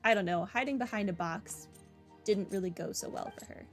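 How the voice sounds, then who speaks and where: A woman's voice: medium pitch, a little fast, faint music behind. A woman giving casual, chatty commentary on her video game playthrough, with wry asides. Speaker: gaming streamer, let's player.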